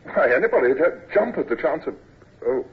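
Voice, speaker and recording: An actor playing an earnest, proud young navy midshipman with a husky voice who stammers when he is moved, in a classic radio drama. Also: fairly high pitch, very animated, quick pace, full character voice. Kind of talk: 1940s-50s radio acting. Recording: tinny old radio broadcast recording.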